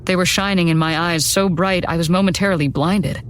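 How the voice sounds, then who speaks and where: A woman's voice: on the low side, fairly even intonation, a little fast. A woman narrating a first-person horror story in a dramatic storytelling style. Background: background music.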